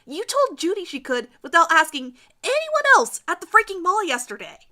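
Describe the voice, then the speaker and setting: A woman voicing an aggressive, jealous high school girl, loud and sneering, in a dramatic story reading. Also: sing-song, moderate pace, exaggerated voice. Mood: angry, sneering.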